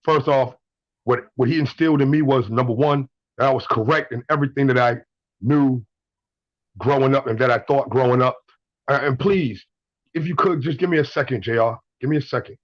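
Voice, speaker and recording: A man's voice: mid-range voice, brisk, no room sound, muffled recording. A man in his twenties calling in to a show, telling blunt stories, swearing and repeating himself. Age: 20s. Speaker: caller. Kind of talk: casual call-in story.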